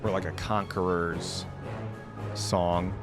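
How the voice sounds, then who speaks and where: Male reaction host, low register, casual commentary, music playing clearly behind. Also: steady tone, slow pace.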